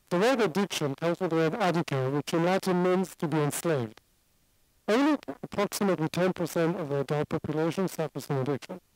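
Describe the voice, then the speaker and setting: A man's voice: high-pitched, darkish tone, dry recording, low-quality recording. A man from Singapore with a mixed international accent, giving a seated, conversational lecture as an addiction expert. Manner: conversational, explaining and qualifying.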